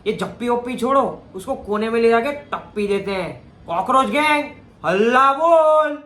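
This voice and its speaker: A man's voice: very high-pitched, animated, normal speed, pushed and bright, full character voice. A man mimicking a cocky Bombay gangster sidekick from a film comedy, full of swaggering street slang. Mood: cocky, rousing. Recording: echoey room, faint noise.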